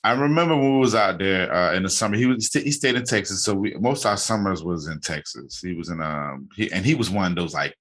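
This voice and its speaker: Male podcast host, casual rambler, low pitch, bright tone. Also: expressive, a little fast, hardly any pauses. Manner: rambling, many restarts and fillers. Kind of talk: casual interview chat.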